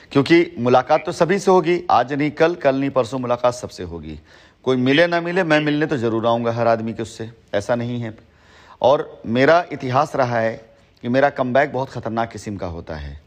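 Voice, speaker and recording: A man talks on a recorded phone call. His voice sits at a middle pitch. He is assertive and long-winded, often repeating himself to press his point. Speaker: company head on a phone call with a former associate. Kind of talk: phone conversation in Hindi mixed with English words.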